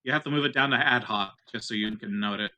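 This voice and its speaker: A man's voice: mid-range voice, fast talker, bright, forceful tone, dry recording. A man playing a tabletop roleplaying game, explaining things casually. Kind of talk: casual game talk mixed with in-character dialogue.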